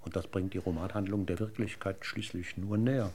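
An actor playing a courteous, verbose police inspector in a radio crime drama, speaking formally and in a roundabout, deliberate way. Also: male voice, low-pitched, fairly even intonation, darkish tone, slight background noise, lightly acted. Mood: expository, measured.

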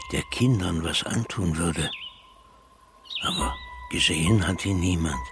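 A man acting a middle-aged narrator recalling an eerie childhood memory in a radio drama, gravelly and measured. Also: low-pitched, heavily acted. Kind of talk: radio drama monologue, storytelling.

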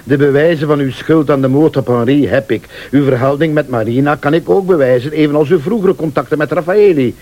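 An actor playing a shrewd, insistent accuser in a radio thriller, speaking formally and with deliberate insinuation. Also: male voice, on the high side, warm tone, natural voice. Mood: accusing. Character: male late-night accuser, shrewd, insistent, laying out a murder plot. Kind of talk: Dutch-language radio thriller acting.

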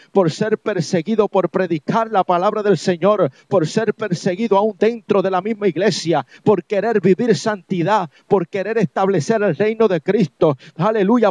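A man's voice: high voice, a little mellow, dry recording. A man with a Puerto Rican accent preaching a sermon as an evangelist, exhorting listeners with insistent, repeated phrases. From Puerto Rico. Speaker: evangelist preacher on a religious program. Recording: dull, lo-fi, dry sound.

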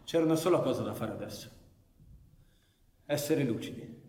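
An actor playing a proud, bitter anarchist bandit in a radio drama, with a dramatic, deliberate delivery. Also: male voice, medium pitch, lively intonation, darkish tone, slight background noise, close to an everyday voice. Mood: grave, brooding. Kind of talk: theatrical radio drama narration and dialogue. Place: live radio performance in an echoey space. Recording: reverberant echoey hall with music.

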